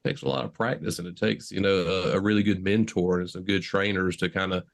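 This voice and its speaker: A man's voice: deep voice, a little fast, vocal fry. A younger man, co-host and EMS instructor, in reflective casual chat with many fillers.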